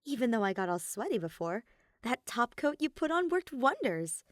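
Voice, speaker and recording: A woman's voice: a little high, big pitch swings, moderate pace, dry recording, studio quality, pitched above the performer's own voice. A woman doing an eager teenage girl pianist, a touch flustered. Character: cheerful earnest teen girl pianist.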